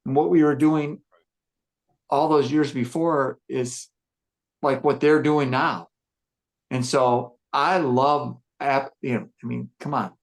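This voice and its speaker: Gruff male musician, mid-pitched, unhurried podcast storyteller who circles back and restarts. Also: fairly even intonation. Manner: reflective, rambling storytelling with fillers and restarts. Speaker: musician discussing his band. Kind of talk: casual podcast chat.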